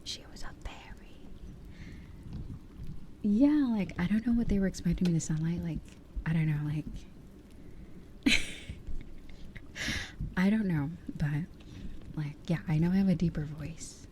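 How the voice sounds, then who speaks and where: A woman in her twenties chatting casually as an ASMR streamer in a soft, breathy voice that often drops to a whisper, rambling candidly close to the microphone. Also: fairly low pitch, moderate pace, pauses often, a little hiss or hum. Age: 20s. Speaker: ASMR livestream host. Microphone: close ear-to-ear ASMR microphone.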